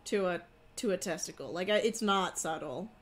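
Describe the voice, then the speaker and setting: A woman streaming a co-op horror game, calmly explaining tactics and guiding her partner. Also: fairly low pitch, normal speed. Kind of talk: casual gameplay chat.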